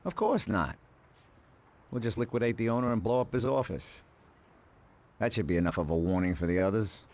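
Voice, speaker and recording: A man playing a calm, calculating middle-aged mob advisor in a video game, speaking in a dry, deliberate, matter-of-fact way. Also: lowish voice. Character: calm, calculating male mafia consigliere, drily ruthless.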